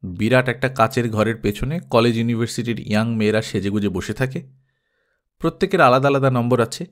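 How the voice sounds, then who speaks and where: A man's voice: mid-range voice, a little fast, natural voice. A man playing a rambling, oblivious elder brother. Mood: wistful, chatty. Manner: rambling, matter-of-fact. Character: talkative middle-class elder brother, wistful, oblivious, easily puzzled.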